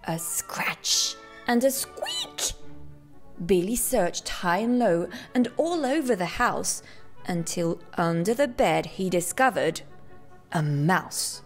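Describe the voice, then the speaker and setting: A woman's voice: fairly low pitch, lively intonation, takes it slowly. A woman narrating a rhyming children's storybook aloud with theatrical, dramatic phrasing. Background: classical music.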